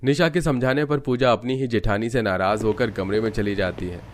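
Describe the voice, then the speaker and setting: Male narrator for an animated domestic story, deeper pitch, scripted read with faint background noise. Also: a little fast.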